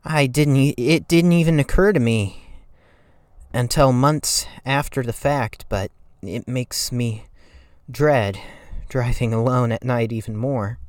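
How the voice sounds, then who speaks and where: A man playing a timid, haunted narrator in a dramatic horror story reading, with a shaky, halting, stammering delivery. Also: medium pitch, a little mellow, smooth, dry recording, clean studio sound, lightly acted. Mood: dread. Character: timid, shaky, haunted narrator recalling a frightening night drive. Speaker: creepypasta reader.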